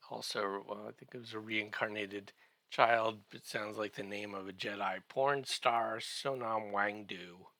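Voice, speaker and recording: Guy doing a jokey podcast recap, slow and halting, crisp bright voice w some fry, wry asides. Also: on the low side, fairly even intonation, no echo. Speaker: podcast co-host giving an episode recap. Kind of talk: comedic recap monologue.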